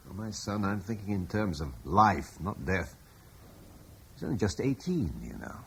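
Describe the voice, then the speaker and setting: An actor playing a thoughtful, idealistic older father, speaking in a reflective, persuasive way with a husky voice. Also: fairly low pitch, big pitch swings, normal speed, fairly bright tone, full character voice. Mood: wry. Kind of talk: radio drama acting.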